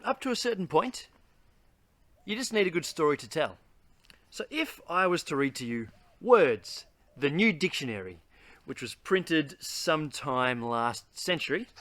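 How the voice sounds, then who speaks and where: Animated, bright-voiced man with lively high pitch. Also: no room sound. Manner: theatrical, exaggerated, enthusiastic. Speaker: host reading a children's book aloud and giving reading tips. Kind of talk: animated read-aloud and instructional talk.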